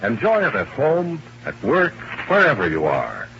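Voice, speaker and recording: A man's voice: mid-range voice, wildly swooping pitch, a little slow, creaky. A man announcing in 1950s American radio commercial style, polished and salesmanlike, with emphatic delivery. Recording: dull, noisy vintage radio recording.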